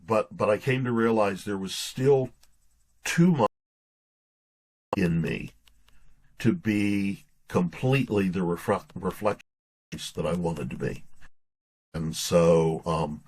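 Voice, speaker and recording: Low-voiced man in a halting, reflective interview. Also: a little mellow, slightly rough. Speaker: guest author being interviewed. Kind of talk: casual interview chat.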